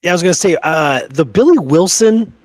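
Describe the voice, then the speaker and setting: A man co-hosting a history talk show in casual conversation, agreeable and quick to interject confirmations. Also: fairly high pitch, expressive, darkish tone, dry recording. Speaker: podcast co-host.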